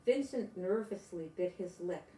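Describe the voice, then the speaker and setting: Animated older grandmother, echoey room. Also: fairly low pitch, unhurried. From United States. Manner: animated, expressive, exclaiming to young listeners. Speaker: grandmother reading picture books aloud. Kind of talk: children's storybook read-aloud with chatty asides.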